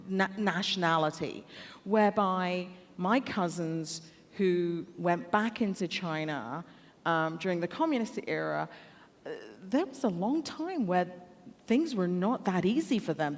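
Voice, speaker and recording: A woman from Hong Kong speaks on a conference panel, lively and anecdotal, turning to the audience to make her point. Her voice sits at a middle pitch and carries a long reverb from a large hall.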